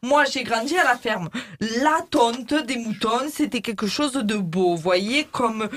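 A woman's voice: a little high, a little slow. A woman playing a giggly, rambling beautician. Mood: chatty. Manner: rambling, lots of fillers, cheeky jokes, giggly. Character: chatty bawdy woman beautician, former hairdresser. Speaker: interviewee. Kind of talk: comedy sketch, mock interview.

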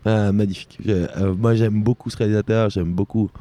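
Deep, dark-voiced joking man. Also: fairly even intonation, brisk. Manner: joking, hesitant with many fillers. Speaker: co-host. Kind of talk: casual radio chat.

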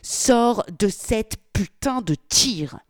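A woman reading aloud voices a brutal, contemptuous male gangster who curses loudly and barks orders. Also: fairly high pitch, animated, extremely slow, no echo, clean studio sound, voice pushed up. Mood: angry, threatening. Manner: loud, cursing, barking orders.

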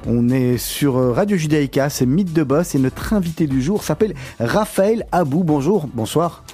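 A man's voice: a little high, expressive, warm tone, faint music behind. A man hosting a radio interview. He asks long questions, restarting and repeating words as he goes. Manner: halting, repeats words while forming questions. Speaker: radio host.

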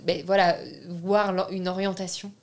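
A young woman from France, a filmmaker speaking as a guest in a relaxed interview. Her voice sits a little low. She hesitates often, fills pauses and restarts her sentences as she searches for words. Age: young adult. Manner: hesitant, many restarts and fillers. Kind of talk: casual interview.

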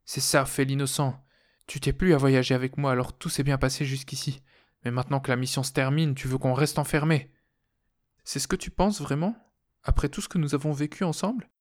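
A man's voice: medium pitch, little pitch movement, fairly quick, close to an everyday voice. Man reading a distrustful, angry female astronaut. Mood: frightened, accusing. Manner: accusing, questioning.

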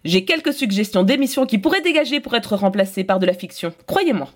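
Insistent, expressive woman played by an actress. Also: medium pitch, a little fast, natural voice. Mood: resolute, impassioned. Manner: insistent, declamatory. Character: determined, idealistic woman demanding more radio fiction. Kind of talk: scripted audio drama dialogue.

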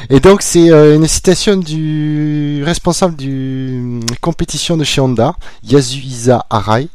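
A man chatting casually as a podcast co-host. He is relaxed, laughs easily and trails off mid-thought. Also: fairly high pitch, measured pace, clear, resonant tone, no echo, studio quality. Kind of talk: casual motorsport chat.

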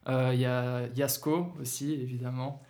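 A young man from Germany, a guest musician in a casual podcast interview, talking in a relaxed, slangy way with many fillers and restarts. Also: mid-range voice, moderate pace.

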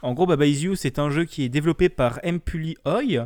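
Slightly high-voiced, jokey gaming co-host. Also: male voice, a little fast, a little mellow. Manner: jokey, crude slang. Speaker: co-host of a video game podcast. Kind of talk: casual gaming chat.

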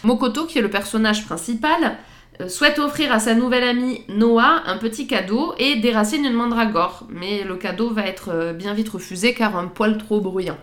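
Chatty woman with a high voice. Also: normal speed. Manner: chatty, laughs easily, hesitant fillers. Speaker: podcast co-host. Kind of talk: casual chat presenting pop-culture references.

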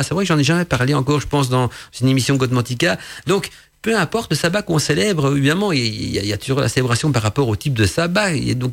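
A man's voice: mid-range voice, gravelly. A man whose first language is Dutch, hosting a radio talk show and answering a listener's question in hesitant French with many restarts. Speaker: podcast host.

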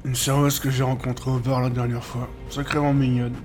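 Ageing gang driver played by a man. Gruff, cynical, slangy and grumbling, for an audio drama. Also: medium pitch, level tone, brisk, a little mellow, soft background music, close to an everyday voice. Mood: irritated, needling. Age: older. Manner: blunt, grumbling, casual slangy talk. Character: gruff, cynical older male chain-smoking gang driver.

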